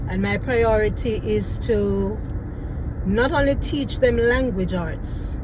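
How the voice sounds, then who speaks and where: A woman's voice: medium pitch, background noise. A middle-aged woman with a Jamaican accent, a teacher telling a story in an emphatic, preachy way with many "right?" tag questions. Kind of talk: storytelling vlog.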